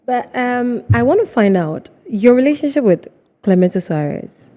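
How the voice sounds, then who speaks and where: A woman's voice: a little high, lively intonation, normal speed, mellow. A woman with a Ghanaian accent hosting an entertainment interview in a conversational way, often murmuring agreement. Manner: conversational, with frequent affirming murmurs. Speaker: TV show host. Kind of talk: entertainment talk show interview. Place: TV studio.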